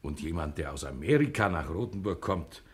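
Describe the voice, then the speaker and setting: A polite small-town gentleman of the old school. His voice is deep and rough-edged. He speaks gallantly, with measured, ceremonious courtesy. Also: expressive. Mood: gallant, courteous. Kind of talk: mid-century German screen acting.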